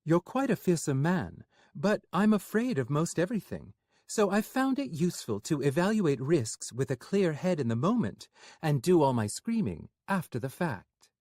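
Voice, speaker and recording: A man voicing a nervous, prim male sorcerer, talking in a high, shaky, shrill way. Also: big pitch swings, moderate pace, a little mellow, no room sound, studio quality.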